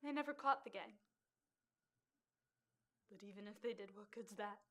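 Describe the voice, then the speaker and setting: A woman performing a stage monologue as a fragile, naive young woman, with plain, halting, childlike phrasing. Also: medium pitch, pushed and bright, lightly acted. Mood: numb, grieving. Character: fragile, haunted, naive young woman recounting cruelty to birds.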